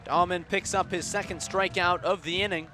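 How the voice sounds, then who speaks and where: A man calling baseball live on the radio, measured between pitches and punchier on plays, as an announcer does. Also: steady tone, a crowd in the background. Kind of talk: live radio sports commentary. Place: outdoor baseball ballpark. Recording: outdoor ballpark ambient noise.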